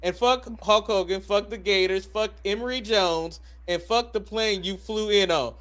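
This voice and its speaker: A high-voiced American man co-hosts a casual live podcast. He banters in a teasing, profane way and repeats words to drive a point home. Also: normal speed, fairly bright tone. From United States. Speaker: podcast co-host.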